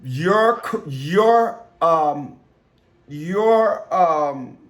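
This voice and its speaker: Man, slightly high voice, coaching host, conversational, instructive, interjecting, repeats opening words. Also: lively intonation, very slow. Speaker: host, relationship and family-court coach.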